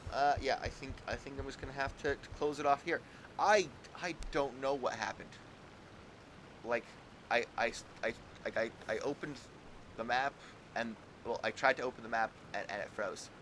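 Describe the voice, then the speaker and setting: A man chatting casually as he livestreams a game, halting and repeating his words, with mild exclamations. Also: mid-range voice, a bit bright, slight background noise, low-quality recording. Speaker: game streamer. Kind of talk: casual livestream chat and commentary.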